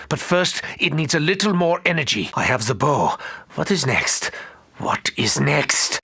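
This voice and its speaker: A man's voice: mid-range voice, vocal fry, bright, forceful tone, husky, no room sound, exaggerated voice. A male actor playing a sinister, scheming German doctor in a video game, with precise, formal and theatrical delivery. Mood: urgent, then menacing.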